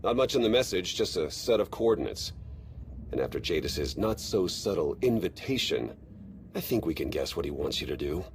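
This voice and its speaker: A man's voice: deep voice, sing-song, moderate pace, slight background noise. A man playing a blunt, wry military adviser in a scripted video game, casual in manner. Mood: businesslike.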